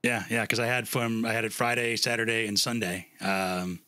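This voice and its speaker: A man hosting a casual interview, asking informal, rambling questions in a halting way. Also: fairly low pitch, flat delivery, bright, forceful tone, no room sound. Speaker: podcast host, interviewer.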